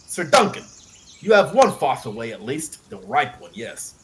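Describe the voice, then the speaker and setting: A man's voice: medium pitch, big pitch swings, normal speed, a little hiss or hum, lightly acted. A man voicing a pompous young knight in an audiobook, boastful and grandly confident. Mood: mocking. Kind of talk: audiobook character acting.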